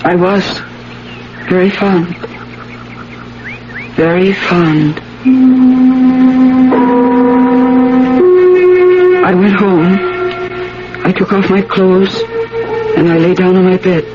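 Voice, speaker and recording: A haunted, lonely village woman makes her confession with intense dread and dramatic pauses. It is 1970s radio drama, in a muffled old broadcast recording with loud music behind her. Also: on the low side, little pitch movement. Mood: numb, grieving.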